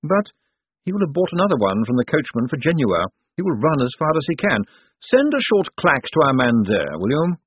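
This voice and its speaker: Storybook ruler, read by a man: urbane, formal, dry wit, lifted pitch, phone-like recording. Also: big pitch swings, a little fast, breathy edge. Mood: cool, dry. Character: urbane, coolly commanding male lord. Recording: thin, phone-like band-limited sound.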